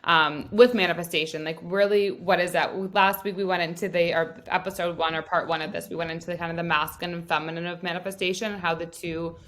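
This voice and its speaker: A woman's voice: fairly low pitch, steady tone, fast, few pauses, fairly bright tone. A woman hosting a podcast in casual chat, upbeat and chatty with lots of fillers. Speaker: podcast host.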